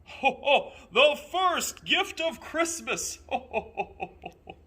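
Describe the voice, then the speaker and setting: Storybook Santa Claus, played by a man: old, jolly, exuberant, pitched up, loud and forceful. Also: very animated, slow pace, exaggerated voice. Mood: triumphant, jolly. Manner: booming ho-ho-ho belly laugh, exuberant.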